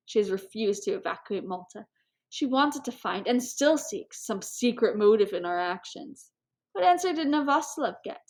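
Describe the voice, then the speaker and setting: A woman voicing an impulsive society hostess of about forty, animated and theatrical, in a storybook reading. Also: fairly high pitch. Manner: animated, impetuous, declamatory.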